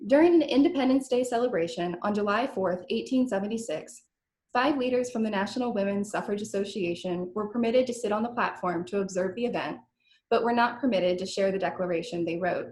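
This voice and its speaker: Female curator, mid-pitched, reading a prepared history introduction in a noticeably echoey room. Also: fairly quick, a little mellow. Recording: roomy, echoing sound.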